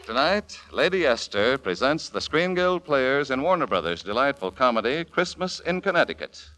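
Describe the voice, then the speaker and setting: A man with an American accent announcing formally and grandly in measured 1940s radio style. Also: medium pitch, very animated, background noise. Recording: old 1940s radio recording, music behind. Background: music.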